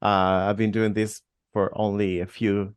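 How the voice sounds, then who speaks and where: A man's voice: on the low side, little pitch movement, a little slow, smooth. A man who teaches English interviewing a guest in a casual, friendly way with many fillers.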